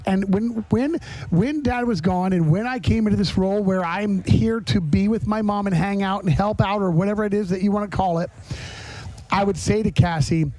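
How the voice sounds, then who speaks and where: A man hosting a podcast in candid, conversational talk, his phrases broken up by pauses. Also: high voice, dark tone, poor audio. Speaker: podcast host.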